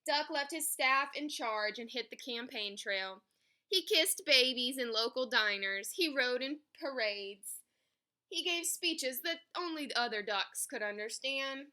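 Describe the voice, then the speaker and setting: A woman reading a story aloud for children in an expressive, emphatic way. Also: a little high, measured pace. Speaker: picture book reader.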